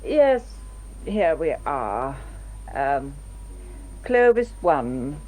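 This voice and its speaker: An actress playing a composed, professional woman doctor in a radio drama, speaking in a measured, polite way. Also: deep voice, sing-song, mellow, a little hiss or hum, heavily acted, poor audio. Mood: composed, brisk. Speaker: actor.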